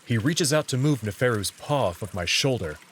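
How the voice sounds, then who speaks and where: A man with a medium-pitched voice narrates a visual novel in the first person with lively intonation. Faint noise and trickling water sit behind him. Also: brisk.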